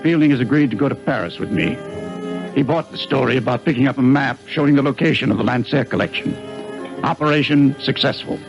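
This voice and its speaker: An actor playing a smooth, scheming middle-aged businessman who speaks in a calm, persuasive and self-assured way. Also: medium pitch, fast talker, muffled recording, music in the background, lightly acted. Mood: businesslike. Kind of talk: 1950s radio drama acting.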